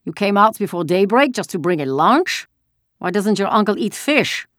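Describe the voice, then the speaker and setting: A woman's voice: mid-range voice, lively intonation, normal speed, a bit bright, dry recording, studio quality, voice pushed up, natural voice. A woman voicing a harsh, suspicious, contemptuous male soldier in an audiobook story, barking and snapping. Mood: questioning. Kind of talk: audiobook character dialogue.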